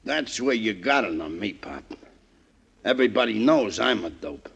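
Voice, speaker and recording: An actor playing a slow-witted, hot-tempered young boxer with a rough voice and a working-class American accent, in 1940s radio crime drama style. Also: male voice, on the low side, sing-song, a bit bright, heavily acted. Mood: sullen, needling. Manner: slow-witted, ungrammatical tough talk. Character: dim, hot-tempered, lovesick young male prizefighter. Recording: old 1940s radio recording.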